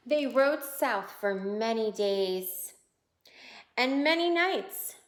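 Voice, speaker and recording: A woman with a high voice reads a children's picture book aloud. Her delivery is slow, with big swings in pitch, and she breaks off to point things out to young listeners. The room adds a noticeable echo. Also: expressive. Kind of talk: children's read-aloud storytelling. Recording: roomy, echoing indoor sound.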